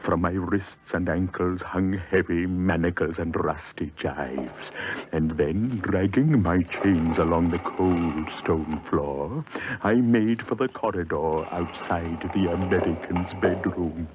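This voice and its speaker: Deep, slow, pompous old English ghost. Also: male voice, background noise. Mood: ominous relish. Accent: British. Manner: theatrical, pompous, savoring each phrase. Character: proud, aggrieved centuries-old English male ghost narrating his hauntings. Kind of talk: 1950s radio drama narration. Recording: dull 1950s radio recording with music.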